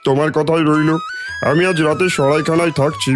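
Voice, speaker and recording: Man playing a gracious merchant, higher-pitched voice. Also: flat delivery, a little fast, music in the background, natural voice. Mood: gracious, decisive. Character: gracious wealthy foreign merchant, adult man. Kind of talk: Bengali cartoon voice acting.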